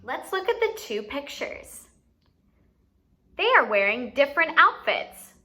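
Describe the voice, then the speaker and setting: A woman's voice: sits high, sing-song, a little slow, pushed and bright. A woman hosting a children's educational activity, playfully giving instructions and asking questions as if to young kids. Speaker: children's story time host and teacher.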